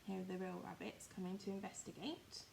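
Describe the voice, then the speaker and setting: A woman's voice: fairly low pitch, fairly even intonation, brisk, gravelly, darkish tone, a little hiss or hum. A woman reading a children's story expressively, with emphatic, dramatised phrasing. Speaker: primary school storyteller.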